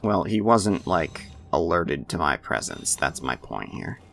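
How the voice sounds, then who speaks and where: A man giving casual, offhand gameplay commentary in a low-key way. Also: sing-song, unhurried, vocal fry, fairly bright tone, soft background music. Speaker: gamer, walkthrough commentator.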